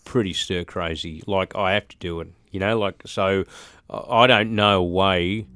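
An Australian man in his forties, a musician chatting as an interview guest, halting with fillers and restarts. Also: sits low, fairly even intonation, fairly bright tone, clear, resonant tone, no room sound, studio quality. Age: 40s. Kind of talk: casual interview talk.

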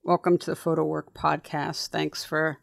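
A man's voice: a little high, level tone, moderate pace, vocal fry, no echo, clean studio sound. A man hosting a podcast interview, talking in a chatty, casual way with frequent restarts. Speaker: podcast host.

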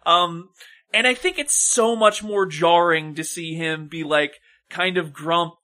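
A podcast co-host chatting casually about films in an animated, opinionated way, with some swearing. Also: medium pitch, a little slow. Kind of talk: casual film discussion chat.

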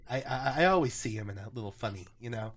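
A man's voice: medium pitch, fast, fairly bright tone, a little hiss or hum. A man co-hosting a podcast while sick, his voice a little off, rambling in casual chat. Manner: rambling, repeats words as he talks. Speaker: podcast co-host.

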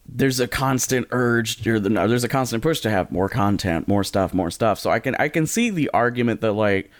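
A man's voice: mid-range voice, animated, moderate pace, dry recording, clean studio sound. A man co-hosting a podcast, chatting casually and rewording his thoughts as he thinks aloud. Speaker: podcast co-host.

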